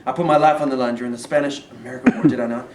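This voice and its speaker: Guy reading a play as formal cautious headmaster husband, talks quick, echoey distant recording. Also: medium pitch, steady tone, natural voice. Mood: indignant. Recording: roomy, distant mic, faint noise.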